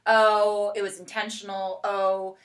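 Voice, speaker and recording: A woman's voice: mid-range voice, level tone, a little slow. Casual American woman hosting a chat. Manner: informal, conversational, frequent "like". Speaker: podcast host.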